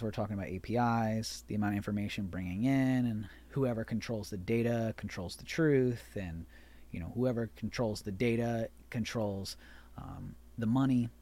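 A man in his mid-thirties hosts a podcast in loose, rambling conversation. He restarts and corrects himself often, and his voice sits in a middle pitch. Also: a little mellow. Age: 30s. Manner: rambling, chatty, self-correcting. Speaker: podcast host. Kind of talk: casual chat.

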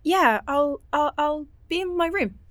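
High-voiced, homesick young woman. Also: animated, a little slow, no room sound. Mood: subdued, awkward. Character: homesick, restless, unhappy young woman stuck on train. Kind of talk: audio drama dialogue, naturalistic.

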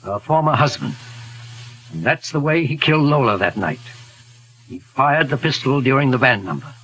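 An actor playing a steady, kindly older detective with a measured, reassuring manner in 1940s radio style. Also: male voice, mid-range voice, breathy edge, low-quality recording. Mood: solemn. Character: kindly, steady older male investigator, solemn. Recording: hissy 1940s radio recording, faint music.

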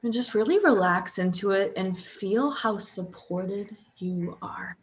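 Woman, hypnotherapist, very unhurried delivery, medium pitch, calm guided relaxation, long pauses. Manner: calm, drawn-out pauses, audible breaths and hums. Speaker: hypnotherapist guiding listeners. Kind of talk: guided sleep hypnosis, soothing relaxation script.

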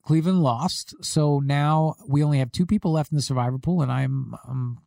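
Animated man telling stories with many restarts. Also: fairly high pitch, moderate pace, creaky, dry recording, studio quality. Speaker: podcast co-host, rules expert. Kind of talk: casual sports talk.

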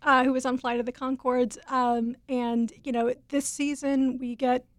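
Eager, fairly high woman critic. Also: little pitch movement, normal speed, dry recording. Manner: enthusiastic, many fillers. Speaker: TV editor, panel guest. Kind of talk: casual panel chat.

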